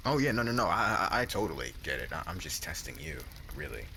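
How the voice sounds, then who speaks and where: A man's voice: sits low, very animated, fast, sharp tone, exaggerated voice. A man playing a cocky, possessive young warrior husband in an intimate ASMR roleplay, talking in casual, teasing modern slang. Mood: sheepish, bluffing. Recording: clear background noise, water ambience.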